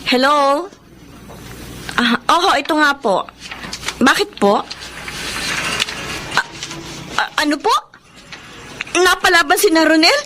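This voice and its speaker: An emotional young wife in a radio drama, with a very high voice. She is pleading and insistent, clinging to her hope. The recording is clearly noisy. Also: animated, slow pace, long pauses. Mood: hopeful, respectful. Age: young adult. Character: distraught young wife Almina, refusing to believe she is widowed. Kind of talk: Filipino radio drama acting.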